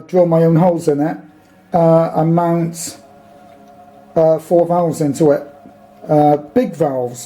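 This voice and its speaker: Man with a very dark, muffled tone. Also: fairly high pitch. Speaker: hobbyist presenter. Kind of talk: casual, rambling show-and-tell of a vintage radio. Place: small dim room in a house.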